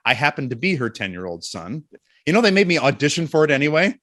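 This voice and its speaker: A middle-aged man chatting casually as an interview guest, telling jokey anecdotes in an animated way. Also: on the high side, quick pace, dry recording, studio quality.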